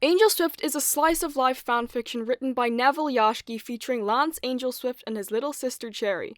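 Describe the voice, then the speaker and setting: Higher-pitched woman narrating a video essay. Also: brisk, dry recording, studio quality.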